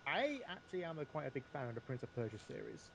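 A man speaks in an average-pitched voice over audible background noise. He talks casually as a podcast panelist, doubling back on his phrases as he works through a point. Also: sing-song, vocal fry, poor audio. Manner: halting, repeats and restarts phrases. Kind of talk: casual film discussion.

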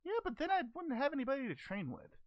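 A cheerful, simple-minded martial-arts hero from a cartoon parody, acted out by a performer. The high voice swings widely from muttering to exclaiming, blunt and halting as it reads fan questions. The sound is close and dry. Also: wildly swooping pitch, no room sound, highs cut off. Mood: sheepish. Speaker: host reading fan questions. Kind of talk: in-character fan question-and-answer show.

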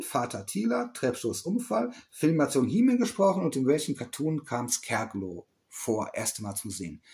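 A man's voice: on the high side, creaky, darkish tone. A middle-aged man in a small indoor room, rambling through a casual quiz vlog, correcting himself and teasing that he knows the answers. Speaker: toy collector vlogger.

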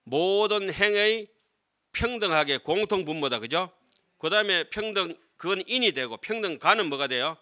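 Middle-aged male monk lecturer, bright ringing voice, slightly high, animated question-and-answer delivery at a desk mic. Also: moderate pace. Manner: animated, asks the class questions and answers them himself. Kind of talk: classroom lecture on scripture. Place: lecture hall with seated students. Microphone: desk microphone on stand.

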